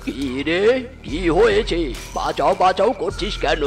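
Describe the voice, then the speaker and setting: Man voicing a brusque cartoon father, slightly high. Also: expressive, unhurried, creaky, over music, full character voice. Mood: puzzled. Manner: brusque, exasperated. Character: gruffly scolding father in a comic animal cartoon. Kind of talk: cartoon voice acting.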